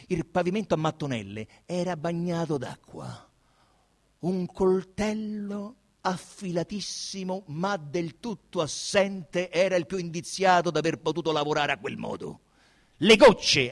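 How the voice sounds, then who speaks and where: A man with a Roman accent, an actor giving an intense, theatrical reading of a literary novel, swinging between hushed murmurs and loud declamation. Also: high voice.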